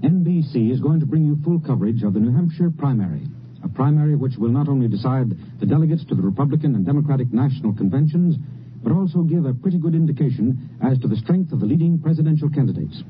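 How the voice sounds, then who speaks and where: A man's voice: mid-range voice, lively intonation, quick pace. A man working as a news correspondent, delivering a scripted 1950s radio promo. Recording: dull, faintly noisy old radio recording.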